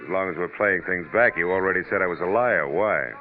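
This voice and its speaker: An actor playing a wisecracking American private detective in a hard-boiled, slangy vintage radio drama style. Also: male voice, low voice, a little fast, natural voice. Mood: smooth, needling. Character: tough, wisecracking male private detective narrating his case. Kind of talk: 1940s-50s American radio detective acting. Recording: muffled old radio recording, music behind.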